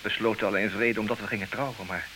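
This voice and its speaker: An actor playing an intelligent, possessive, ironic husband in an old radio play, speaking earnestly in theatrical diction. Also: male voice, fairly low pitch, steady tone, fast, lightly acted. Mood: tentative, hopeful. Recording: hissy old radio recording, clear noise.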